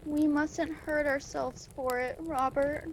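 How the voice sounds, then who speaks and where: A woman plays a tender, weary mother in a radio play, grieving gently. Her voice is very high and unhurried, halting, over faint noise on a home call recording. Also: steady tone. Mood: tender, sorrowful.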